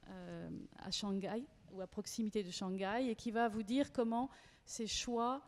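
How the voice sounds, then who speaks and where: Woman panel moderator, formal and measured, warm mellow tone, long hall reverb. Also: mid-range voice. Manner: measured, many hesitation fillers. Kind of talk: academic moderation.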